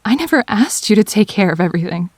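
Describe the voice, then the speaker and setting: A woman acting in an emotional audio drama as a grieving, defensive girlfriend, speaking in halting, wounded phrases with pauses. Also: medium pitch, very animated, dry recording. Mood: hurt, disbelieving.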